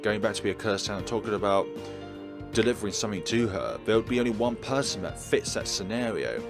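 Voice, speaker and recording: A man doing let's play commentary, thinking aloud and reading visual novel text as he goes. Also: lowish voice.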